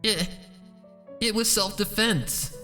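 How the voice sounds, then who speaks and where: A man's voice: sits high, takes it slowly, pushed and bright, long reverb tail, faint music behind, pitched above the performer's own voice, full character voice. A man voicing a frightened young female pony who stammers, in a dramatic story reading. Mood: shaken. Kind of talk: dramatic fan fiction reading.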